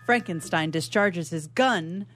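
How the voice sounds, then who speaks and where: A woman's voice: lowish voice, a little slow, fairly bright tone, slight background noise. A woman reading stage directions as the narrator of a radio theatre melodrama, in a scripted dramatic style.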